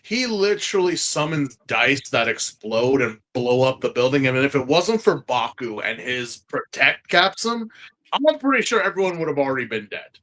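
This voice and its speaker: A man hosting a casual podcast review, animated and slangy, repeating phrases for emphasis. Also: mid-range voice, moderate pace. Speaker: podcast host.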